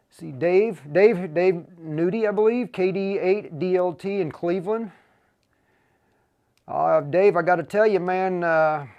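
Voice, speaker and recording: An American man hosting a livestream in a casual, folksy, informal way, talking in a halting flow. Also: high-pitched, dark tone, smooth, lo-fi sound. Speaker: livestream host for a radio equipment seller.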